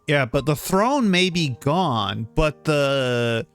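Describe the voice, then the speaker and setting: A man's voice: a little high, expressive, takes it slowly. A man giving casual livestream commentary as he plays a game. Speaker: game streamer. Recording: game audio music behind.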